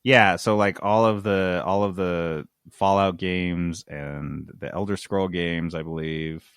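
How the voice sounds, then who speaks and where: A man's voice: sits low, fairly even intonation, slow pace, clear, resonant tone, no echo, studio quality. A man hosting a podcast in a casual gaming news chat, talking in a wry, informal way. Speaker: podcast host.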